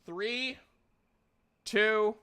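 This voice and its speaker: Man, game master, bright clear voice, informal, tabletop roleplaying session. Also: high voice, extremely slow.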